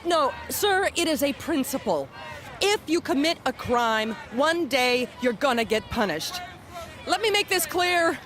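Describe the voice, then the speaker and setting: Zealous crusading orator: extremely high-pitched, emphatic, preachy, faint outdoor crowd behind. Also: female voice, big pitch swings, a little slow, fairly bright tone, slight background noise, exaggerated voice. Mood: righteous, impassioned. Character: righteous, fervent female crusading public speaker. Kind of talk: dramatised public speech.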